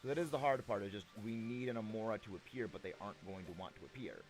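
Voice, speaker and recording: A young man chatting playfully with his stream viewers, a little gravelly and prone to repeating himself. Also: fairly low pitch, fast talker, faint music behind, poor audio. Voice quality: slightly gravelly. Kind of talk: casual live-stream chat with viewers.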